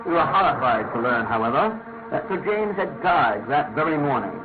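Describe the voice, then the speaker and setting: Through a noisy, phone-thin recording comes a detective's earnest companion. His slightly high male voice is measured and thoughtful, suited to old radio storytelling. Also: sing-song, phone-quality sound. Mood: grave, measured. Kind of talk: classic radio drama acting and narration.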